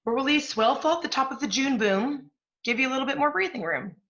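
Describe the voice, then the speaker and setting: An actress playing a guilt-ridden but pragmatic literary professional in a stage play, with a dramatic, emphatic delivery. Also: female voice, sits high, quick pace, fairly bright tone, dull audio. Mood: businesslike. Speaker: apprentice stage actor.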